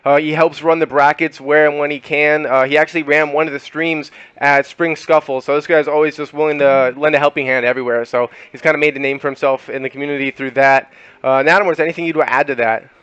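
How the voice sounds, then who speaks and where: Young man, podcast host, rambling casual chat, smooth voice, dry close sound. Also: a little high, fairly even intonation, quick pace, a bit bright, no room sound, dull audio. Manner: loose, rambling, many fillers and laughs.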